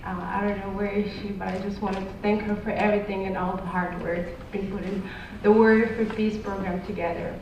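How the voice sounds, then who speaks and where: A woman's voice: mid-range voice, level tone, fairly quick, a little mellow, a little hiss or hum. A woman introducing herself earnestly as a panelist, her English phrasing slightly nonstandard. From Morocco. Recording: echoey hall, distant muffled mic.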